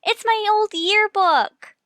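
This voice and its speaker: A woman playing a sprightly, warm-hearted amateur-sleuth wife who teases her husband in a radio mystery drama. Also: very high voice, slow pace, no echo, studio quality, heavily acted. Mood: amused, nostalgic.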